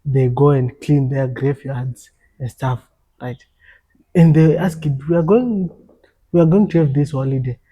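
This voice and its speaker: A man's voice: mid-range voice, unhurried, slightly rough, highs cut off. A young African man talking earnestly in a casual podcast conversation, often repeating and restarting his words.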